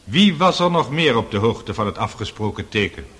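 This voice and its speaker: An actor playing a stern, impatient presiding judge in a radio play, formal and curt. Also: male voice, on the low side, sing-song, normal speed, fairly bright tone, breathy edge, exaggerated voice.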